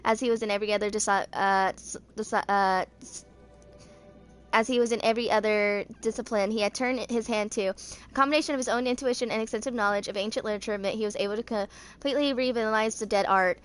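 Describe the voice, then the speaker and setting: A woman's voice: mid-range voice, fairly even intonation, fast talker, clean tone, faint music behind. A woman in her twenties reading game character lore aloud as an amateur creator, stumbling over words and rereading them. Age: 20s. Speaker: amateur gaming fan video creator.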